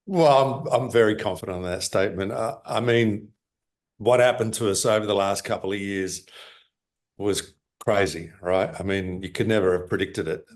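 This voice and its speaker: A man working as a mining company executive, answering interview questions over a video call in a halting way, with many fillers and restarts. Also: fairly low pitch. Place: office in Lima.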